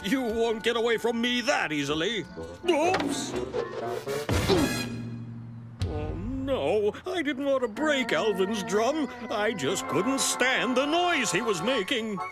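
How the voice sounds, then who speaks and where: A blustering, exasperated middle-aged father from a cartoon. His voice leaps wildly up and down at a slow pace, with loud music underneath. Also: extremely high pitch, very animated, full character voice. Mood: dismayed. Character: grumpy, flustered middle-aged cartoon dad. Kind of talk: children's cartoon acting.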